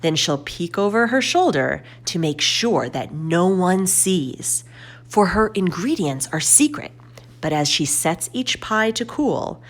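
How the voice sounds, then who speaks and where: A woman reads aloud to children as a storyteller. Her voice sits a little low and moves through lively, varied intonation. She takes her time, phrasing each line deliberately. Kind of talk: expressive children's read-aloud narration.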